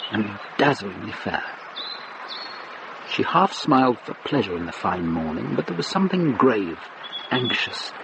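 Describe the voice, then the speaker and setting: A male narrator of a medieval radio mystery, low and creaky, with expressive swings of pitch. He reads lyrically and with measure, over loud ambience. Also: background noise, heavily acted. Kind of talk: literary scripted narration in a radio drama.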